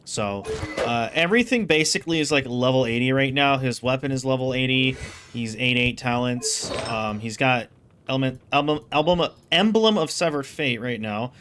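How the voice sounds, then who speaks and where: A man's voice: medium pitch, expressive, moderate pace, background noise. A man giving casual, rambling game commentary, thinking aloud as he streams.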